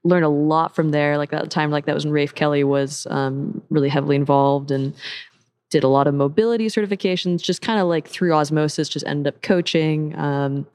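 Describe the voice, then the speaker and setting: A woman's voice: low voice, brisk, a little mellow. Chatty American woman, open and laughing. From Seattle, USA. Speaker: parkour coach and program director. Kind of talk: casual interview chat.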